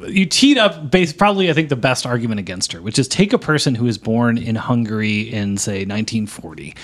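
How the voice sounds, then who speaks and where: A man's voice: mid-range voice, animated, fairly quick. A man co-hosting a podcast, arguing his side in a mock-serious, argumentative manner. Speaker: podcast co-host. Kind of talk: casual debate chat.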